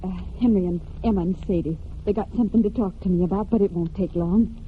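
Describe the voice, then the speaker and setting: A woman's voice: medium pitch, quick pace, close to an everyday voice. An actress playing an earnest, firm country woman with homespun speech in a 1940s radio drama. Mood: coaxing. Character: earnest, firm rural woman who runs a farm. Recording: tinny, noisy old radio broadcast.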